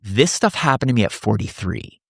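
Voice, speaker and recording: A man in his forties talks with a fairly low voice in a relaxed podcast conversation. He is earnest and reflective, often restarting his sentences as he thinks. The sound is close and dry, with no room echo. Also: brisk, vocal fry, husky. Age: 40s. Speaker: men's coach, podcast guest.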